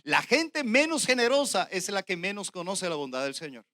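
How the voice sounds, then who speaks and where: Animated Puerto Rican preacher with a high voice. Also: male voice, normal speed, no echo, clean studio sound. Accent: Puerto Rican Spanish. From Puerto Rico. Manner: emphatic, addresses listeners directly, swings from loud declarations to hushed asides. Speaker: pastor preaching. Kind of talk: sermon, verse-by-verse Bible teaching.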